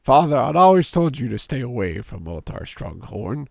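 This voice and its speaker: A hoarse man in his thirties with a sore throat, streaming a game and reading its story text aloud in a deeper storyteller voice. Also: big pitch swings, moderate pace, dry recording, clean studio sound. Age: 30s. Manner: drops into a deep storyteller voice for the narration.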